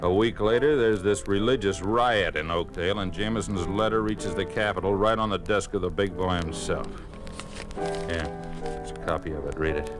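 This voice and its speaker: Guy doing a deep voiced grumbly party officer, 1950s radio show, weary, music in back. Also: moderate pace, close to an everyday voice. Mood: tense briefing. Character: gruff, grumbling male party officer, worried boss. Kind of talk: 1950s radio drama acting. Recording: vintage 1950s radio recording.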